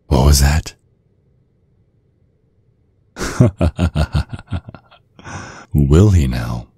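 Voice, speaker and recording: A man playing a seductive, vengeful vampire in an ASMR roleplay, taunting in a sultry, husky, breathy voice. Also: rumbling low, warm tone, no echo, heavily acted. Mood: smug, sensual, then scornful, taunting. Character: seductive, vengeful male vampire, former protégé of a priest.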